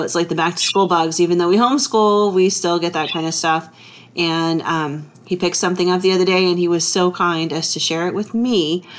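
Woman in her thirties, laid-back vlogger voice, lower register, faint room hum. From Texas. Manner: chatty, relaxed, full of fillers. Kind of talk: casual kitchen vlog narration.

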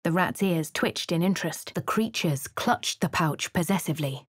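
A posh female adventurer with a posh English accent, wry and composed. Her voice sits fairly low for a woman and comes across crisp and dry. It is recorded in a dead, dry studio booth with no room sound. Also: lively intonation, moderate pace, no room sound. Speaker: voice of the player character. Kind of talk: game voice lines and narration.

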